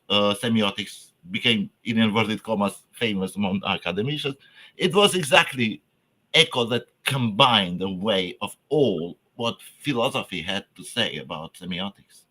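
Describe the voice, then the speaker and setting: A lower-pitched man with a bright, forceful edge delivers a conference lecture in English, his first language being Albanian. He speaks haltingly, stops to find words, and restarts phrases. He stresses key terms with sudden lifts, then lets the ends of his sentences fall away. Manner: halting, searching for words, frequent fillers and restarts.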